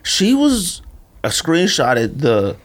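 A man co-hosting a podcast in casual, slangy, animated chat, telling stories and often amused. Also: fairly high pitch, slow pace. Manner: animated storytelling, frequent laughter, slangy. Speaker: podcast co-host. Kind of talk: casual chat about sneakers.

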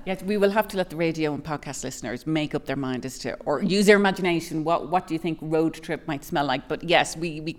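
A radio host with a very low voice for a woman, bantering with her guest in a light, playful way before she hands over to his reading. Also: fairly quick, hardly any pauses, slight background noise. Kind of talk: radio interview.

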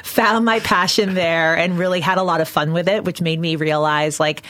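A woman's voice: on the low side, steady tone, moderate pace, a bit bright, dry recording. A woman in her late thirties with a Canadian accent, chatting upbeat as an interview guest with plenty of "um"s and "you know"s. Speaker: guest, innovation executive. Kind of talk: casual podcast interview.

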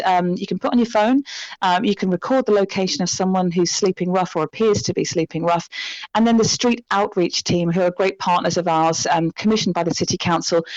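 A British woman speaking as a charity representative in an interview, explaining things in an earnest, compassionate, measured way. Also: on the low side, no echo, muffled recording. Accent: British English.

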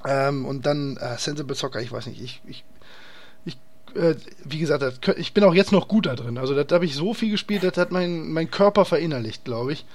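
A man's voice: on the high side, fairly quick. A man hosting a casual podcast chat, talking in an enthusiastic, slangy and emphatic way. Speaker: podcast host.

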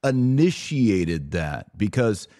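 Low-voiced man, lively inquisitive podcast host. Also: slow pace. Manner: inquisitive, probing. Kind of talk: casual interview conversation.

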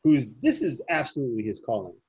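A man's voice: mid-range voice, very animated, quick pace, a little mellow, slightly rough. A man from Chicago's southwest side chatting as a podcast guest, agreeable and conversational with hesitant restarts. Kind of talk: casual podcast chat.

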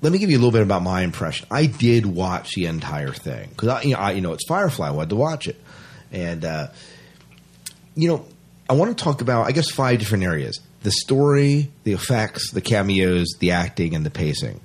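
Male podcast host, low husky register, conversational and emphatic, informal review chat. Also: very animated, fairly quick.

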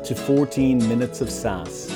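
A man hosts an interview podcast recorded at a conference. He asks his questions haltingly, with plenty of ums. Loud music plays behind him throughout. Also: medium pitch. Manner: hesitant, many fillers. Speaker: podcast host. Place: conference venue.

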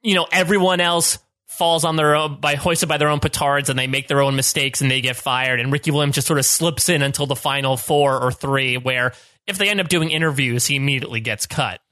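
A man co-hosting a recap podcast, cracking quick jokes in casual chat. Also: mid-range voice, bright, forceful tone, no room sound, studio quality. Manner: joking, quick wisecracks. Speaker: podcast co-host.

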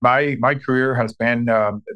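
A middle-aged man from Puerto Rico, a marketing director answering interview questions with many pauses and restarts. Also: mid-range voice, steady tone, clean tone, highs cut off. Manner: hesitant, many fillers and restarts.

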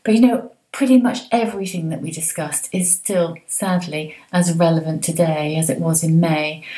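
A woman hosting a podcast, reading a clear, friendly intro and then chatting with her guest. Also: lowish voice, mellow, poor audio. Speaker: podcast host and change coach. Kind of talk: scripted podcast intro, then interview chat.

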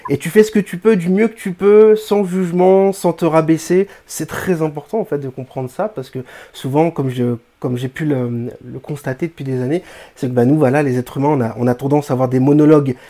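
A man with a slightly higher, mellow voice. He talks like an encouraging teacher, explaining patiently to his students. His manner is warm toward the learner and steady. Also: fairly quick. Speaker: bass teacher, host. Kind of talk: interviewing a student.

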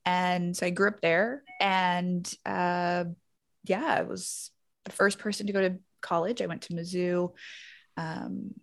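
A woman's voice: lowish voice, level tone, a little slow, creaky. A woman from Louisiana raised in the Missouri Ozarks, telling stories in a casual chat as a podcast guest. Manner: chatty, uses many "like" fillers and laughs. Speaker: guest, doctor.

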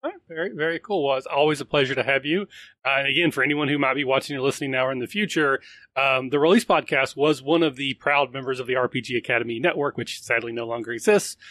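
A man's voice: medium pitch, quick pace, pushed and bright, dry recording. Upbeat male podcast host, dry sound. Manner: upbeat, repeats words. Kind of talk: live podcast hosting.

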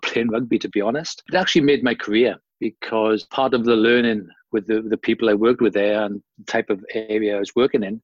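A middle-aged man with a Welsh accent, a podcast guest telling his career story in a relaxed, conversational way. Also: mid-range voice, dry recording. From South Wales. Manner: conversational, with fillers and restarts, recounting a career at length. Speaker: guest, former police officer. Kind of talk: interview storytelling. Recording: dry, dull lo-fi audio.

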